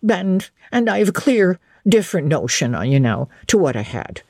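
A woman's voice: on the low side, sing-song, moderate pace, a little mellow, no echo, studio quality. A woman voicing a plain-spoken, homely old servant woman with a Northern English dialect, in a dramatic audiobook reading. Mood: contrite.

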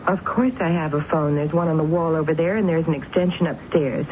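An actress playing a cold, reclusive spinster who narrates, in a 1970s radio drama. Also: female voice, sits low, a little fast, a little mellow, close to an everyday voice. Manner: fussy, guarded, clipped. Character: prim reclusive spinster woman, fussy and cold. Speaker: narrator and lead character. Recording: muffled old radio broadcast with hiss.

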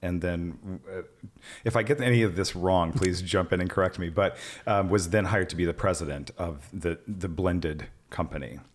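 A man's voice: sits low, moderate pace. A man hosting an interview, asking questions in an enthusiastic, conversational way. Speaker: podcast host.

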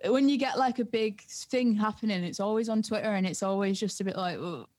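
A woman's voice: medium pitch, brisk, darkish tone, no echo. A young woman, chatting casually and colloquially as an interview guest. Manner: informal, colloquial. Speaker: music producer and DJ guest. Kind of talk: casual chat.

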